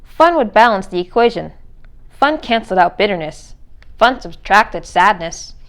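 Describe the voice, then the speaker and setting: A woman's voice: medium pitch, animated, normal speed, a bit bright, a little hiss or hum. A woman reading a children's storybook aloud as narrator. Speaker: read-aloud reader.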